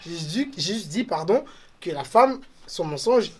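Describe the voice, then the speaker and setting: Animated man hosting a debate show. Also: sits high, measured pace, husky. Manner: animated, teasing, asks questions. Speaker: host. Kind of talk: casual debate show chat.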